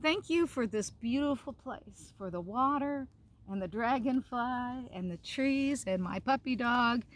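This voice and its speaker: A woman pastor reading a storybook and giving an informal devotional talk for children, with gentle pauses and simple phrasing. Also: medium pitch.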